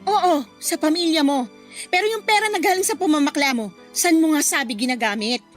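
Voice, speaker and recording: A woman's voice: high-pitched, fairly quick, faint music behind, lightly acted. A woman acting a hurt, furious young woman in a Filipino radio drama, with an emphatic, accusing delivery. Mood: angry, accusing. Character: hurt, furious young woman confronting her cheating boyfriend.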